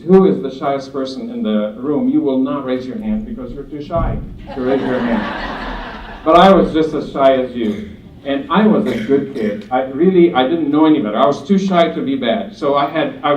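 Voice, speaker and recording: A man's voice: mid-range voice, normal speed, a little mellow, a little hiss or hum. A man from Lebanon giving an earnest, humorous motivational speech at a conference, telling stories with jokes and asides. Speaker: speaker at a conference. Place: conference hall. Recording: distant roomy hall sound, dull.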